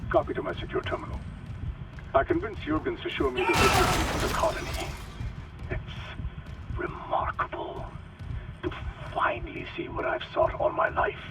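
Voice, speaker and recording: An actor playing a fanatical, quietly reverent doctor dictating a log in a measured, breathy, savouring way. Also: male voice, a little high, wildly swooping pitch, far from the mic, very noisy. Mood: reverent, sinister. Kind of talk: dramatic game acting, recorded log.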